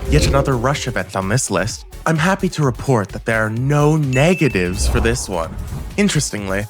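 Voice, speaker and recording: A man's voice: mid-range voice, expressive, normal speed. A man delivering scripted review narration as a video game reviewer, with a playful, opinionated manner. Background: music.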